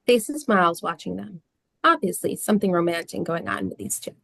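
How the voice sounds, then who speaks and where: A woman's voice: fairly low pitch, animated, a little fast, creaky, a bit bright, no room sound, clean studio sound. A woman reading scene descriptions and stage directions as the narrator of a script.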